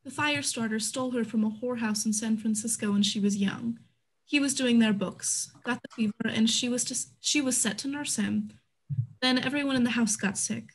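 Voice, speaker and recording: A woman's voice: fairly high pitch, a little fast, low-quality recording. A woman in a play reading, voicing a candid, proud businesswoman of an Old West town. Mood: confiding. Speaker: actor in an online staged reading. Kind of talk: scripted stage play reading. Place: indoors at home, on a group video call.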